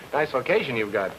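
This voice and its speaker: An actor playing a glib, wisecracking private detective in an old-time radio drama. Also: male voice, medium pitch, natural voice. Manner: glib, flippant. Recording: noisy old radio recording.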